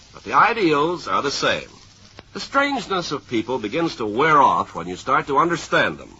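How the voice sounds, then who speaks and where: A man's voice: medium pitch, bright, forceful tone, slightly rough. A man hosting a 1950s radio show, reading a formal script with emphatic, polished delivery. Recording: muffled old radio recording.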